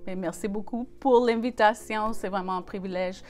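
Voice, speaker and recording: A woman's voice: mid-range voice, fairly even intonation, normal speed, darkish tone, faint music behind. A woman in her forties from Western Canada, a native English speaker with an English Canadian accent, speaking French in an interview with many hesitations. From Saskatchewan, Western Canada. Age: 40s. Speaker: gospel singer and guest. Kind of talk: casual interview.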